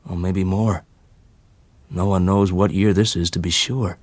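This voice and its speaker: A man voicing a rough, folksy fellow, opinionated and bitter but kindly, in colloquial rustic speech. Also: sits low, fairly even intonation, measured pace, no echo. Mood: knowing, grumbling.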